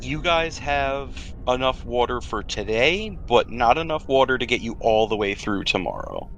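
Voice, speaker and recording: A man's voice: mid-range voice, animated, moderate pace, a bit bright, slight background noise. A man running a casual online tabletop game as game master, explaining rules and joking conversationally.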